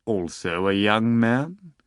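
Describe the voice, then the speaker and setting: A man's voice: on the low side, very animated, slow, dry recording, clean studio sound. A man voicing a suave, condescending male magician in an audiobook reading. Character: suave, condescending foreign magician, an older devil.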